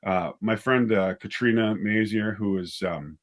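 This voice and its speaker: A man with a lowish voice speaks as a guest in a casual interview. He is a director who tells stories conversationally, often acting out bits of what was said. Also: unhurried. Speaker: guest, audio drama writer and director.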